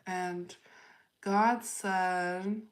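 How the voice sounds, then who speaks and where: Female livestream tarot host: lowish voice, unhurried, halting spiritual chat, repeats phrases while thinking aloud. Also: fairly even intonation. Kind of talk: spontaneous spiritual reading, chatting with live viewers.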